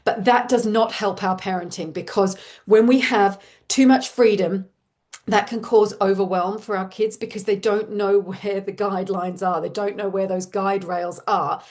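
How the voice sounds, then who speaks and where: An Australian woman in her forties talks parents through an online workshop. Her tone is warm and reassuring, and she keeps it chatty, with the odd um and restart. Her pitch sits in an ordinary middle range. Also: fairly even intonation, normal speed. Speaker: parenting coach.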